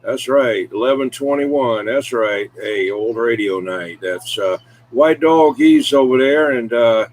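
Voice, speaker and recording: A man's voice: mid-range voice, unhurried. A man chatting casually as host of a CB radio show, folksy and full of radio lingo. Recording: background noise.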